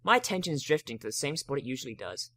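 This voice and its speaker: A man's voice: mid-range voice, very animated, rapid-fire, fairly bright tone. A man giving a dramatic first-person reading of a story as narrator.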